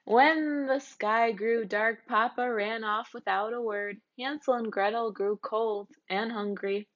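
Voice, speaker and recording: A woman's voice: fairly high pitch, fairly even intonation, slow pace, fairly bright tone, lo-fi sound. A woman teacher reading a children's storybook aloud and explaining words to children.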